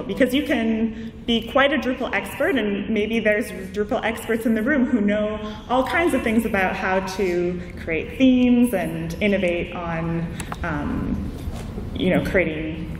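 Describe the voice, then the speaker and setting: Woman giving a casual conference talk, explaining stuff conversationally, echoey room and kinda far from the mic. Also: medium pitch. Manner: conversational, explaining through examples. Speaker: conference keynote speaker. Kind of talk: informal slide presentation to an audience. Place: large indoor conference room. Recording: echoey hall, distant mic, background noise.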